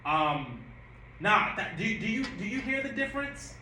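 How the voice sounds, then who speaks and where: A man's voice: high voice, big pitch swings, normal speed, pushed and bright, recorded from a distance, a little hiss or hum. A man directing and coaching actors in a rehearsal, giving notes and acting out lines to demonstrate them. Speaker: director and writer. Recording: echoey room.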